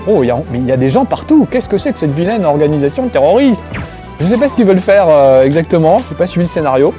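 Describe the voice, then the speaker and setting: Corsican-accented male game-show host, self-mocking, high warm voice. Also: sing-song, fast, soft background music. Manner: joking, self-mocking, chatty. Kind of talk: casual gameplay commentary to camera. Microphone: close framing, tight on face.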